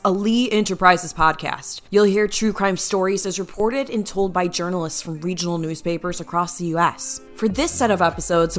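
A woman's voice: lowish voice, fairly bright tone. A woman hosting a true crime podcast, introducing stories and interviewing guests.

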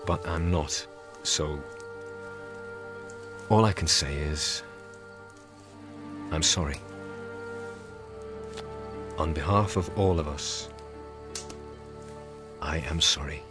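Radio drama: compassionate sea captain, deep, sincere, pleading, music underneath. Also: male voice, very slow, long pauses, exaggerated voice. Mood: sincere, apologetic.

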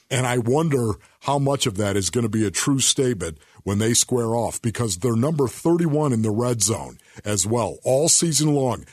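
Husky, emphatic man on sports radio. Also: medium pitch, no room sound, clean studio sound. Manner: folksy, exclamatory, emphatic repetition. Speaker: sports talk radio co-host. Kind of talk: football analysis talk.

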